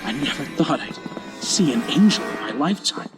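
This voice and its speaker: A man's voice: on the high side, animated, brisk, over music, natural voice. An actor playing a naive, curious young man who questions an old storyteller in a conversational, uneasy way for an audio drama. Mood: awed.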